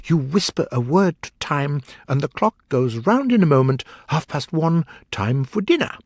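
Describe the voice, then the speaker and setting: Eccentric, condescending hatter for a storybook, read by a man. Raised pitch, big swings, emphatic and a bit mournful. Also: sing-song, moderate pace, no echo, studio quality. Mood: contemptuous.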